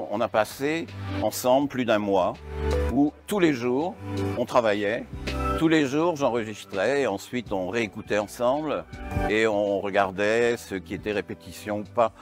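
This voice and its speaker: A man in his sixties from Brittany, an author speaking reflectively in an interview. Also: mid-range voice. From Brittany, France. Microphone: lapel mic. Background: instrumental music.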